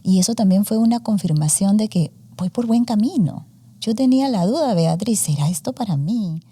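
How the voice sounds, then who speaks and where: A woman's voice: medium pitch, lively intonation, normal speed, warm tone, husky. A woman in her forties, a student journalist, talking through her story in a casual interview with many fillers and English words mixed in. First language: Spanish. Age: 40s.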